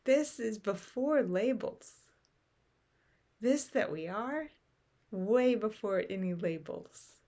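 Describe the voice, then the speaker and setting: A woman leading a guided meditation in short, unhurried phrases with long pauses, softly trailing into breath at the ends. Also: medium pitch, animated. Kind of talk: guided meditation, unscripted and contemplative.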